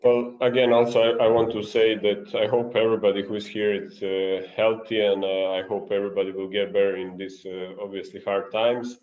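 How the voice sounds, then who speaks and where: Serbian-accented male coach, flat low delivery, lo-fi call sound. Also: a little fast, few pauses. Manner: earnest, searching for English words. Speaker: guest coach. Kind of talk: online coaching clinic talk. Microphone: video call.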